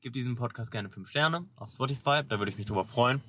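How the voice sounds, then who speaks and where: A man's voice: medium pitch, quick pace, fairly bright tone, no room sound. A young man hosting a casual podcast from a parked car, teasing his guest and swearing freely. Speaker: podcast host.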